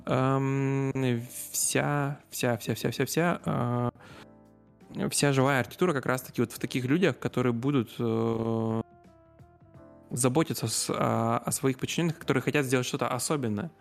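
A man's voice: medium pitch, fairly even intonation, moderate pace, gravelly, soft background music. A man telling stories in a casual conversational style, often searching for words and correcting himself as he goes. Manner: hesitant, searching for words, with repeated self-corrections.

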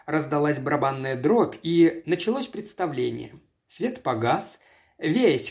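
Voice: medium pitch, a little mellow. Чтец аудиокниги играет ожесточённого вдовца средних лет, который говорит драматично и настойчиво. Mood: grim recollection. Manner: dramatic, insistent. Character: embittered, obsessive middle-aged widower accusing his guests.

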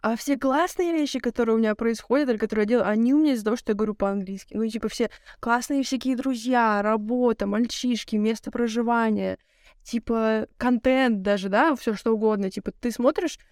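Young woman, rapid slangy chatter, higher voice, dry close mic. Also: a little mellow, dry recording. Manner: rapid, filler-heavy slangy talk. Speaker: podcast co-host. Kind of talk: casual chat.

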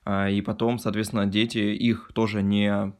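A man's voice: on the low side, flat delivery, moderate pace, smooth. A man in his mid-twenties from Russia co-hosting a podcast, chatting casually in a reflective, colloquial way. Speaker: podcast co-host. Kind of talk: casual chat.

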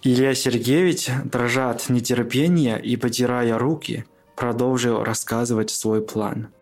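A man's voice: medium pitch, steady tone, measured pace, a little mellow, faint music behind. A man reading scripted literary narration as the storyteller of a radio play.

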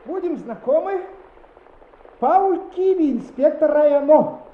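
A woman's voice: high voice, lively intonation, slow pace, roomy sound, phone-quality sound. An actress playing a stuffy, curt, disapproving male school inspector in a radio play. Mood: displeased, officious. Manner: officious, curt.

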